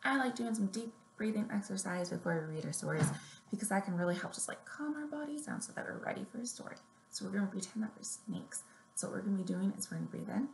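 A woman's voice: mid-range voice, animated, quick pace, creaky. A woman in her thirties hosting a children's story time, giving simple, encouraging instructions to little kids. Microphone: webcam or laptop at arm's length. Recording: roomy echo, noticeable background noise, webcam sound.

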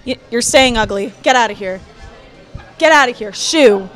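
A woman's voice: on the high side, lively intonation, moderate pace, a bit bright, soft background music. A young woman doing comedic street interviews on a college campus, blunt and teasing, on a handheld mic. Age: young adult. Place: college campus, outdoors and indoors.

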